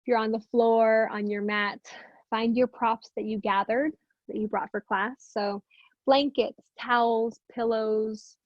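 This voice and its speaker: A woman teaching meditation, speaking gently and unhurriedly with long pauses in soothing guided instruction. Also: a little high, flat delivery, no echo, dull audio.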